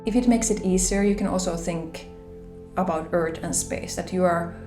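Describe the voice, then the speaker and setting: A woman with a Finnish accent, speaking English as a second language, shares gentle reflections on a spiritual journey in a soft, pausing manner. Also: on the low side. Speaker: spiritual vlogger. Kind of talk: gentle reflective monologue to camera. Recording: roomy echo with soft background music.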